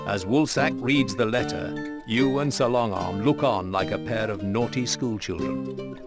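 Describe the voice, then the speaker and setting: Measured male game narrator over music. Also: fairly low pitch. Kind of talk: scripted video game narration.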